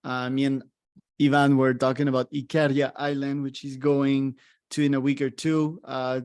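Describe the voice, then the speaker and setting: A man hosting a podcast who asks his guest questions in a relaxed, easygoing way. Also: mid-range voice, normal speed, clean tone. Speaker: podcast host. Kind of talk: casual interview chat.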